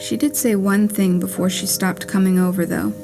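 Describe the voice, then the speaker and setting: A woman's voice: mid-range voice, fairly even intonation, normal speed, creaky. A woman reading a scripted, letter-like monologue for a puppet play in a wry, plainspoken storytelling way. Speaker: reader of own written play. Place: live theatre performance with music. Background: music.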